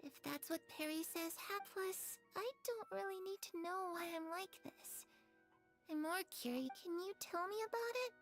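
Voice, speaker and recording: A woman voicing a bright, curious, wistful young girl in a fantasy video game. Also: very high-pitched, expressive, moderate pace, soft background music, full character voice. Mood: curious, surprised.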